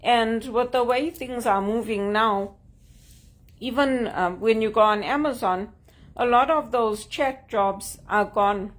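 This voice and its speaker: A woman's voice: fairly high pitch, takes it slowly. Woman with a Zimbabwean accent. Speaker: job seeker sharing tips. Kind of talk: casual talk to camera.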